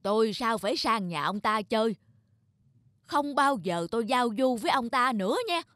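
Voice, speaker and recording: A man's voice: extremely high pitch, animated, normal speed, pushed and bright, dry recording, clean studio sound, heavily acted. A man voicing a spiteful, quarrelsome older male neighbor in a cartoon, with a scornful, snapping manner. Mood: indignant. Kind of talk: cartoon voice acting.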